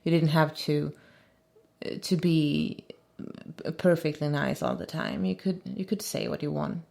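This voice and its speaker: Swedish-accented woman, low and gravelly, friendly co-commentator who keeps agreeing. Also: a little mellow. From Sweden. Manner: chatty, quick to agree, laughs often. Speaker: actress and co-commentator. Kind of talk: casual commentary chat.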